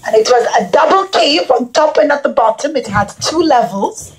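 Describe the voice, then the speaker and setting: A woman's voice: high voice, animated, moderate pace, low-quality recording. A woman storyteller presenting a puppet show for young children, speaking in simple short phrases and addressing them directly.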